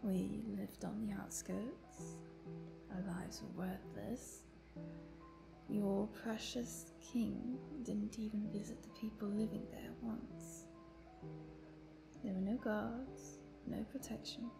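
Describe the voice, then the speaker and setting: A woman's voice: medium pitch, steady tone, slow, creaky, music in the background, low-quality recording. A woman playing a chatty, playful vampire prisoner who teases and tells her woes theatrically in a roleplay monologue. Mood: bitter storytelling. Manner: playful, talkative, teasing, theatrical. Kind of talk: dramatic roleplay monologue addressed to the listener.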